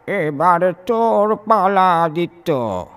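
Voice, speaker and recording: An eyeless male spectre, voiced by a man, threatens in a horror tale. The voice is raised in pitch and dragged out very slowly, with music behind it. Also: animated, fairly bright tone, clean tone, full character voice. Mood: threatening. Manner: slow, threatening drawl.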